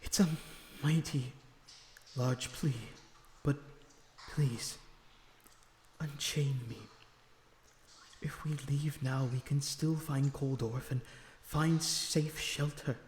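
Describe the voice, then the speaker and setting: A man playing a gentle, earnest vampire prisoner in an ASMR roleplay, soothing and pleading in a breathy, slightly formal way. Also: medium pitch, steady tone, takes it slowly, pauses often, a little hiss or hum, natural voice. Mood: pleading, reassuring. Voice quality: breathy, often dropping to a whisper. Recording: roomy echo on the voice.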